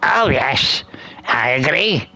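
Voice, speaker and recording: A woman's voice: extremely low pitch, big pitch swings, slow, gravelly, sharp tone, husky, exaggerated voice, rough recording. A woman playing a curious, earnest traveling companion in a fantasy visual novel, speaking a little hesitantly. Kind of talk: visual novel character dialogue.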